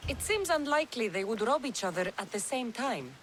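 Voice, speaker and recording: An actress playing a commanding, dry-witted mercenary heroine in a video game. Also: female voice, fairly high pitch, sing-song, a little fast, a little hiss or hum, poor audio. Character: commanding female mercenary hero.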